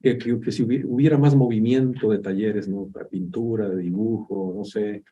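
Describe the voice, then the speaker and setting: A man's voice: fairly low pitch, fairly even intonation, moderate pace, mellow, muffled recording. A man with a Mexican accent, an artist speaking hesitantly and repeating words.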